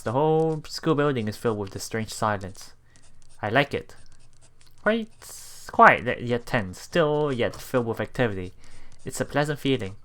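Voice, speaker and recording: A man reading a visual novel aloud as a let's play streamer, haltingly, with repeats and self-corrections. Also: medium pitch. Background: soft game music.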